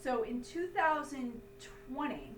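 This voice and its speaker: A woman's voice: high voice, slow pace. A woman instructor explaining a lesson step by step in an emphatic, didactic way, often checking understanding. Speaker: accounting instructor. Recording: roomy, distant mic, faint hum noise.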